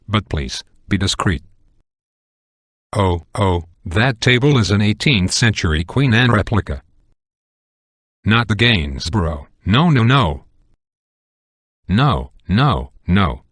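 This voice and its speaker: A man's voice: fairly low pitch, husky, dry recording, clean studio sound, full character voice. Fussy, flustered male hotel manager. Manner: prim, exasperated, pleading. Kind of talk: video game voice acting.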